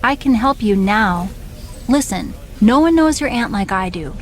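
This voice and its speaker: An actress playing a scheming veteran female wrestler, talking in a measured, persuasive way. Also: on the high side, lively intonation. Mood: knowing, persuasive. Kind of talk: video game cutscene dialogue.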